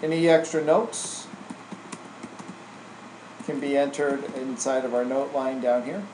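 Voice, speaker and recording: Guy doing a laid-back informal software tutorial, roomy echo, bit of background noise. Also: medium pitch, flat delivery, slow pace. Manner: casual, informal, tags statements with "right?". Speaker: software trainer. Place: indoor room, screen recording voice-over. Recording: roomy echo, faint air-conditioning hum.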